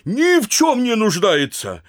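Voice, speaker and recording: Casting: a grumbling, bowing small-town merchant petitioner, high pitched and lively in tone, folksy stage comedy. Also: male voice, unhurried, full character voice. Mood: aggrieved. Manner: folksy, obsequious, complaining. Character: aggrieved, fawning provincial merchant petitioner. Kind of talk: theatrical audio drama comedy acting.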